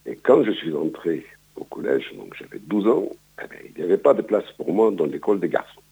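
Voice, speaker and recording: Very deep gravelly older man. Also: big pitch swings, fairly quick, dark tone. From Palestine. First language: Arabic. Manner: hesitant, many fillers and repeated words. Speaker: guest. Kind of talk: radio interview, life story. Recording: dull radio broadcast sound.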